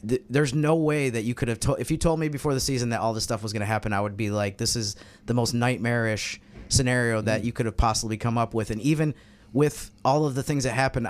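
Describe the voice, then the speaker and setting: A man co-hosting a casual sports roundtable, talking in a conversational, self-deprecating way. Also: medium pitch. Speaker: sports podcast co-host.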